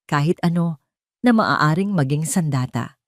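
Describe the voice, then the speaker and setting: A woman narrates a scripted drama in a slightly low voice. Her pitch moves in lively, expressive swings. The sound is dry, with no room around it. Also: measured pace, no room sound. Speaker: story narrator.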